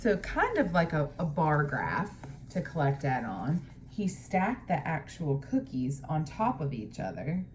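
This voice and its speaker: A woman teacher doing a picture-book read-aloud, pausing to explain things to young pupils. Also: low-pitched, huge pitch swings, a little mellow, a little hiss or hum.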